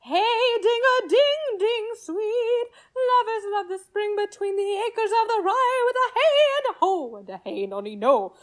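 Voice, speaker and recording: A woman's voice: very high-pitched, expressive, a little slow, hardly any pauses. A woman playing a young page who sings a merry Elizabethan love song in a radio play.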